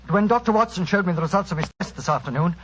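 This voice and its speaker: An actor playing an earnest, wronged gentleman of an island, grave and formal, in 1940s radio style. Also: high voice, expressive, very fast. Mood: bitter accusation. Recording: muffled, hissy 1940s radio recording.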